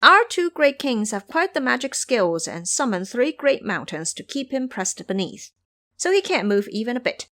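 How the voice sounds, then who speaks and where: Woman playing a young demon imp, gullible servant, male, high-pitched, excitable, earnest, lively intonation, audio drama. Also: normal speed. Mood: chatty, proud. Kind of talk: audio drama character acting.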